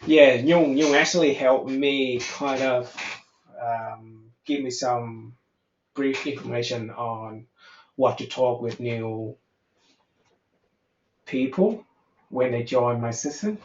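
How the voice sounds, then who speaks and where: A man's voice: mid-range voice, slow, pauses often. Man, Vietnamese accent mixed with American and Aussie, casual frank chat, echoey room, dull audio. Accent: Vietnamese, mixed American and Australian. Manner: frank and loose, swears casually, thinks aloud with restarts. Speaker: English conversation tutor.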